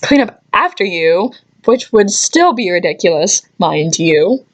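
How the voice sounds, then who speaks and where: A woman's voice: lowish voice, a little slow. Young woman griping through casual stories. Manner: animated exasperated griping with sarcastic asides and mild swearing. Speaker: storytelling vlogger. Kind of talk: casual anecdote vlog, chatty.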